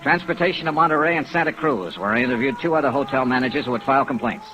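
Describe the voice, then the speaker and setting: A man's voice: medium pitch, rapid-fire, music in the background, lightly acted. A man acting as a wry, patient insurance investigator in a 1950s radio drama, clipped and dry in his delivery. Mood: dry, matter-of-fact. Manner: clipped, dry, hard-boiled. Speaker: lead actor, narrator. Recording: noisy vintage 1950s radio recording.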